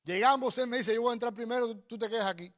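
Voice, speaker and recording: A man's voice: extremely high pitch, racing, fairly bright tone. A man preaching and telling personal stories in an emphatic, exhorting way, repeating phrases. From Cuba. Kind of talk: sermon with personal storytelling.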